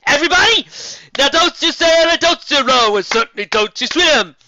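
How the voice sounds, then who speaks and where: A man voicing a mysterious female zebra bard who sings her warnings in slow, drawn-out rhyme, on a dull lo-fi recording. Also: extremely high pitch, lively intonation, sharp tone, no echo, voice pushed up, heavily acted. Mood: ominous singing. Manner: sung, rhyming and slow.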